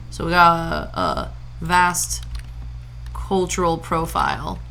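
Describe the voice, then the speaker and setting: A woman's voice: on the low side, vocal fry, a bit bright, a little hiss or hum. A woman from Brazil streaming a farming game, chatting casually and playfully, humming nonsense sound effects and tossing out jokey mock-old-fashioned lines. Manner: jokey mock-archaic asides.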